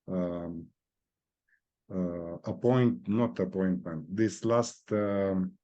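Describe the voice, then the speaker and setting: A man with a Romanian accent, a guest speaking in a halting way, often searching for words and filling pauses. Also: lowish voice, darkish tone, highs cut off. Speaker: guest, real estate executive. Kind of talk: video interview.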